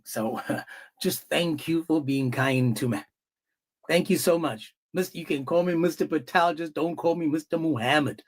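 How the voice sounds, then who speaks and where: A man hosting a heated live-stream debate, mocking and confrontational, often talking over his guest. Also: fairly high pitch.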